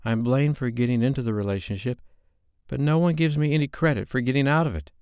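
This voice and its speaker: A man's voice: medium pitch, quick pace, darkish tone, clear, resonant tone, dry recording, studio quality, voice pushed up, close to an everyday voice. A man voicing an upset young woman in an audiobook, speaking in a raised, strained, heated way. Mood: sulky.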